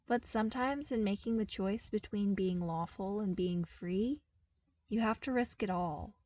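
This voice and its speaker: A woman voicing an earnest, wry young man from a harsh desert world in a story reading, explaining with animated emphasis. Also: medium pitch, measured pace, no echo, natural voice.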